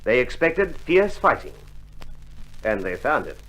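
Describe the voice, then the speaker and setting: An actor playing a war correspondent, narrating a scripted old radio drama. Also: male voice, mid-range voice, sing-song, measured pace, fairly bright tone, rough recording. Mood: storytelling. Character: war correspondent recounting army tales with wry gravity.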